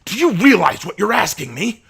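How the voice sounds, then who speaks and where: A man voicing a tormented young male vampire with a volatile temper, who bursts into shouting rage and anguish. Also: a bass voice, moderate pace, voice pushed down. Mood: furious.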